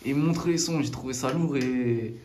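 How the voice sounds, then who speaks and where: A man's voice: medium pitch, moderate pace, darkish tone, slight background noise. A man hosting a casual street interview, asking friendly questions in slang. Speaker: YouTube interviewer.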